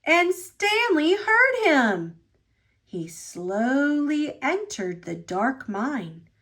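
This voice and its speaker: A high-voiced woman narrates a children's picture book. She takes her time over each phrase and swings her pitch expressively. The delivery is dramatic and shaped for small children. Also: sing-song, a bit bright. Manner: dramatic, deliberate phrasing for young listeners. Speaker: storybook reader.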